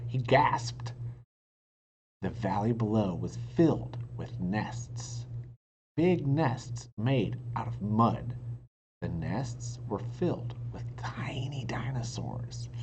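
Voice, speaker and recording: A man reading a children's book aloud in an expressive narrating style. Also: on the low side. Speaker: storybook reader. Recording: dull sound, faint noise.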